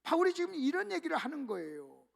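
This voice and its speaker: High gravelly-voiced male pastor preaching. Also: huge pitch swings, quick pace. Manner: leads congregation in call-and-response reading; swings between hushed asides and loud emphatic exclamations. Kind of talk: sermon at a revival meeting. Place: church sanctuary.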